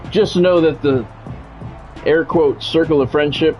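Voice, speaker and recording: A man's voice: a little high, a little slow, darkish tone. An American man hosting a game livestream, chatting casually and bluntly between bits of play-by-play commentary. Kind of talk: casual live-stream chat with play-by-play commentary. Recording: dull lo-fi sound, music behind. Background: music.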